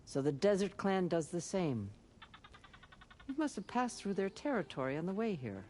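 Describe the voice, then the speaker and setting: An earnest guide in a video game, telling legends with dramatic weight. His pitch moves widely, with faint ambience behind him. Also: big pitch swings, moderate pace, darkish tone, a little hiss or hum. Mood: earnest, reverent. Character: earnest guide recounting clan legends of ancient soldiers.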